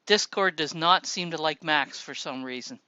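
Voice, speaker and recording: A man hosting a casual voice-chat show, relaxed and helpful, talking through people's posts. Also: a little high, normal speed, clear, resonant tone, dry recording, lo-fi sound. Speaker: show host.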